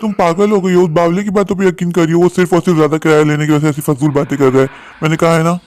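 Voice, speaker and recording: A man's voice: fairly high pitch, little pitch movement, rapid-fire, a little mellow, natural voice. A man playing an earnest, protective young eloping bridegroom in a cartoon story, talking insistently and pleadingly. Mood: dismissive.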